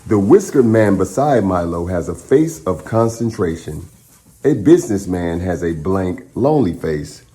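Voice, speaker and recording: A man hosting a children's storytime, speaking to young listeners in an encouraging, expressive way as he chats and reads aloud. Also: sits low, measured pace. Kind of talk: children's read-aloud and upbeat chat to young viewers.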